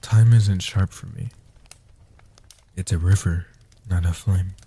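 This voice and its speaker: A man playing an ancient, protective male vampire in a soft-spoken comfort roleplay, speaking in a breathy, hushed, deliberate and soothing way. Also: low-pitched, fairly even intonation, full character voice. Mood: gentle, reflective. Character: ancient male guardian vampire, protective, tender, world-weary.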